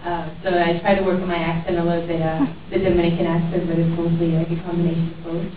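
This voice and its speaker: A woman from Puerto Rico, an actress on a festival panel, answering excitedly and haltingly with bursts of laughter. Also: lowish voice, noisy background. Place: large hall with an audience. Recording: far-off echoey hall, dull audience recording.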